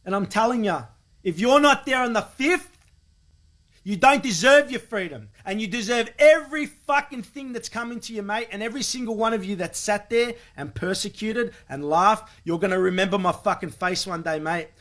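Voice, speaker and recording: Aussie guy ranting angrily, kinda high voice, sweary and really emphatic. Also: normal speed, a bit bright. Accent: Australian. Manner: vehement, emphatic, profane. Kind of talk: angry political rant.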